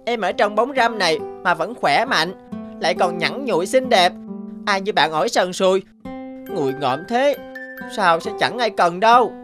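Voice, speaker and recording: A woman's voice: mid-range voice, animated, moderate pace, close to an everyday voice. A woman voicing a vain, boastful young guava that mocks others in a children's cartoon. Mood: haughty. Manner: boastful, mocking. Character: vain, smug, sneering young smooth-skinned guava. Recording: music behind the voice.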